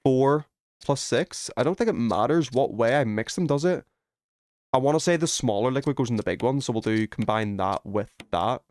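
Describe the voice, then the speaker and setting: A man's voice: medium pitch, animated, normal speed, darkish tone, no room sound. Casual male game streamer, dry close sound. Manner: informal, thinking aloud while working out puzzles. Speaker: live streamer. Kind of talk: casual chat while playing a video game.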